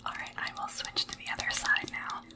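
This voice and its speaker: A woman speaking in a soft, breathy whisper, gently attentive and deferential, as in an ASMR roleplay of a personal sleep attendant. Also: fairly quick, far from the mic. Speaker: ASMR roleplay creator playing a sleep assistant.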